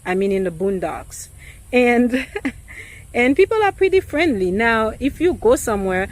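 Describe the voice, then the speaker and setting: A woman in her thirties with a noticeable accent, a realtor talking casually to camera in an earnest, reflective way, pausing often with fillers. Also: fairly high pitch, sing-song. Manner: hesitant, many filler pauses.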